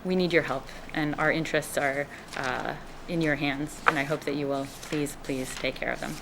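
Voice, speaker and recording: A woman's voice: low-pitched, steady tone, normal speed, vocal fry, heavy background noise. A woman from Israel, a resident speaking at a town hearing, earnest and hesitant with many fillers and restarts. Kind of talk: public comment at a town hearing. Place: town meeting room.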